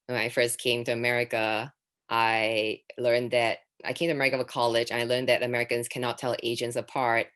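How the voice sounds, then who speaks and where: Low-voiced deadpan woman doing stand-up. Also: brisk. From Singapore. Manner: deadpan delivery with sudden high-pitched exclamations. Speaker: stand-up comedian. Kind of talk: stand-up set.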